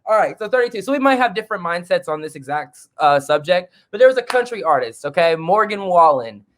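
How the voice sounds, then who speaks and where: A man's voice: sits high, very animated, a little fast, fairly bright tone. A man speaking as a musician guest in a casual podcast chat, blunt and profane.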